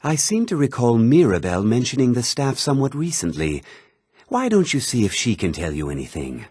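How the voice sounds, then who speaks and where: An actor voicing a haughty, formal middle-aged mage and official in a fantasy video game, speaking precisely and with condescending politeness. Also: male voice, medium pitch, wildly swooping pitch, fairly quick, darkish tone, breathy edge, no room sound. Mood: measured, approving.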